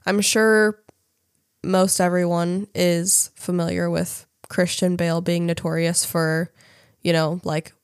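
A woman's voice: on the low side, level tone, takes it slowly, clean tone, no room sound, clean studio sound. A woman co-hosting a casual podcast, congested from a cold, reading out facts with frequent fillers and some hesitation over names. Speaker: podcast co-host.

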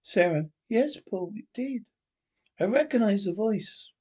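A husky-voiced reader voicing a frightened young woman, speaking in an anxious hush. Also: mid-range voice, lively intonation, a little slow. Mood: uneasy.

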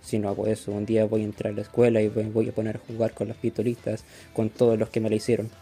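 A man voices a bitter, bullied Chilean schoolboy in a radio play. He is very dark and muffled in tone, flat and deadpan, with light music behind. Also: lowish voice, quick pace, natural voice. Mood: bitter, dejected. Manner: flat, deadpan listing of failings. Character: bullied, bitter, self-loathing schoolboy loser.

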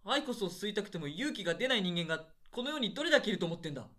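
A man acting as an overbearing, pompous theatre director in a radio drama, gruff and scolding in heated comic dialogue. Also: high-pitched, sing-song, rapid-fire, a bit bright, heavily acted. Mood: wounded, insistent. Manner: gruff, scolding, rough-spoken.

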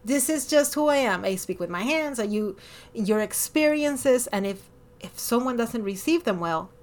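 Podcast host, female, Latin American Spanish accent, slightly high voice, expressive and emphatic in casual conversation. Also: normal speed. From born in Miami, lived over a decade in Ecuador.

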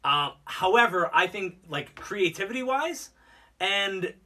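A man's voice: high voice, expressive, unhurried, bright, forceful tone, distant mic. A man co-hosting a podcast in casual, unscripted chat, rambling along with lots of "like" as filler. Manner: rambling, filler-heavy with frequent "like". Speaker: podcast co-host.

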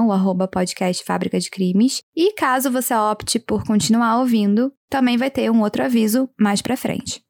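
A woman's voice: on the high side, darkish tone, dry recording, clean studio sound. A Brazilian woman co-hosting a true crime podcast, talking casually to the listeners.

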